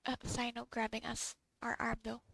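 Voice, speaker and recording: A woman gamer giving casual, off-the-cuff commentary, halting and muttering to herself as she plays. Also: on the high side, completely flat delivery, gravelly, fairly bright tone, slight background noise. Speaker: video game player.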